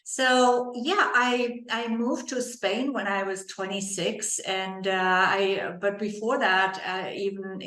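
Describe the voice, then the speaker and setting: A woman from Germany with a German accent, a guest on a podcast talking about her life in a relaxed, reflective way and laughing lightly now and then. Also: medium pitch, takes it slowly, hardly any pauses, reverberant, low-quality recording. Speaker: podcast guest, leadership coach. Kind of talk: casual interview conversation.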